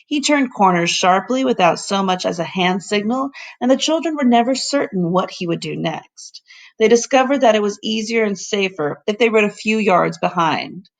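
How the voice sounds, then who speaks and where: Woman reading a storybook, creaky voice. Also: mid-range voice, expressive, normal speed. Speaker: teacher reading aloud. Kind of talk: expressive storybook narration for children.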